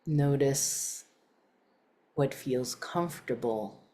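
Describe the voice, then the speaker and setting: Calm lady doing guided meditation, really deep voice, super slow w long pauses. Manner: calm, unhurried, soft-spoken with long pauses.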